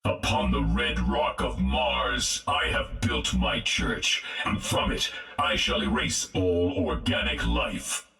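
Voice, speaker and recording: A male actor playing a cold, grandiose machine tyrant in a video game, slow and menacing, with an echoing robotic effect. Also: pushed and bright, exaggerated voice. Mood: cold menace. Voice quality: very deep, hard-edged. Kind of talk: video game villain acting. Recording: echoing, slightly distant sound.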